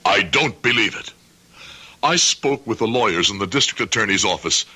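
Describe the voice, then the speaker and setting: A man's voice: on the low side, animated, normal speed, sharp tone, breathy edge, full character voice. An actor playing a proud, stubborn older shoemaker and father, earnest and emphatic, in a radio drama. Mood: indignant, pleading. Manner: earnest, emphatic, formal simple phrasing. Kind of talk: old-time radio drama acting.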